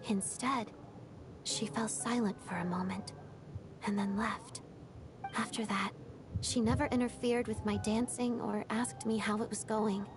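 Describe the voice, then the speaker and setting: A woman playing a melancholy young ballet dancer in a video game, speaking softly and breathily in a restrained, reflective, measured way. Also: mid-range voice, fairly even intonation, over music, lightly acted. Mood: quiet, regretful. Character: melancholy young female ballet dancer and former Fatui trainee. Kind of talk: video game story dialogue.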